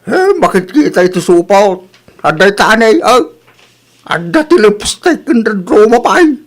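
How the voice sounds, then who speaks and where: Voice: mid-range voice, big pitch swings, measured pace. A performer with an Ilocano accent playing a grumbling, complaining old husband and father in a radio comedy drama, with exaggerated exclamations. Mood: suspicious, nagging.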